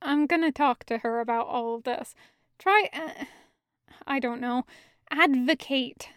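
A woman voicing an awkward, hesitant man who speaks in halting, reassuring phrases as a peacemaker in an audiobook. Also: sits high, a bit bright, smooth, no echo, clean studio sound. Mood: awkward, placating. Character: awkward, hesitant man gently trying to calm things. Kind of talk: audiobook character acting.

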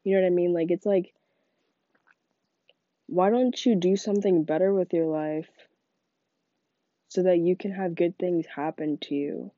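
A woman's voice: on the low side, measured pace, long pauses, dark tone, no echo, dull audio. A young woman with a stuffy, congested voice, talking in a rambling casual monologue full of "like" fillers. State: congested from a cold. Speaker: podcast host.

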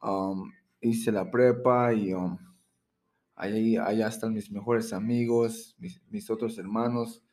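A man in his thirties, from Mexico and raised in Florida, answering questions hesitantly with many pauses as a rapper guest. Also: on the low side, steady tone, darkish tone. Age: 30s. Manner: hesitant, many ums and restarts. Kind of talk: casual interview.

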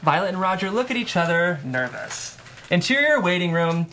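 A man's voice: high-pitched, big pitch swings, moderate pace, sharp tone, a little hiss or hum. An American man speaks as a screenwriter at a table read. His delivery is casual, whether chatting or narrating stage directions. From US Midwest. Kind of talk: casual discussion and script narration.

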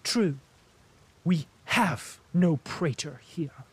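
A man's voice: on the high side, expressive, very slow, husky, dry recording, heavily acted. A man voicing a mocking urbane diplomat. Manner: ironic, teasing emphasis. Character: sardonic witty male diplomat, mocking and urbane. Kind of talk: audiobook character reading.

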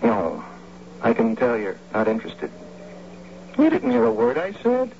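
An actor playing a contented, bookish unemployed architect with an earnest, halting manner in an American old-time radio drama. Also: male voice, medium pitch, wildly swooping pitch, gravelly, darkish tone, exaggerated voice. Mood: eager. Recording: dull, faintly hissy old radio recording.

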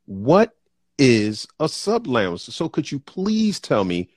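A man hosting an interview show, asking questions in an expressive, enthusiastic way. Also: mid-range voice, slow, dry recording, clean studio sound. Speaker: podcast host. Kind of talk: interview conversation.

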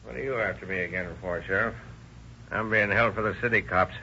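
A man's voice: on the low side, fairly even intonation, brisk, sharp tone, slight background noise. A man playing a defensive, stammering murder suspect in a 1930s radio drama, with a muffled old recording sound.

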